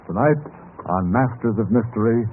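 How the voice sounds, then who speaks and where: A man performing in a theatrical, measured mid-century radio mystery style. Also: medium pitch, animated, lightly acted. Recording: tinny, faintly noisy old radio recording.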